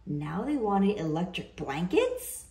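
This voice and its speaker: A woman narrates a children's story with lively swings in her voice. She takes it slowly and deliberately, giving the read-aloud an expressive shape. The recording has a roomy echo. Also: fairly low pitch. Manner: slow, deliberate, animated delivery for children. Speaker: storyteller reading a picture book aloud. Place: indoor room.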